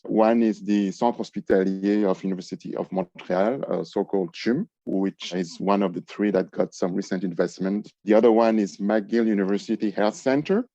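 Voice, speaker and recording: Low, mellow-voiced man on a dull line. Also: no echo. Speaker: government business development advisor. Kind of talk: podcast interview answer.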